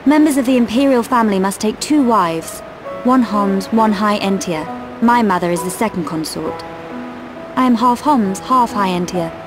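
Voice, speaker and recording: A regal young princess, acted by a woman, mid-pitched, gentle and formal. She speaks with composed game-drama delivery over clear background music. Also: normal speed, lightly acted. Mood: solemn, reserved. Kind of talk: video game voice acting.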